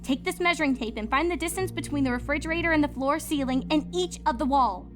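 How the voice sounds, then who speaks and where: A woman acts out an earnest young female pony from a cartoon-style storybook. She speaks high and emphatically, with precise wording and a bossy edge. Music plays softly behind her. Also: fast, natural voice. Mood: anxious, commanding. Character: earnest, excitable young female magical pony student, bossy under pressure.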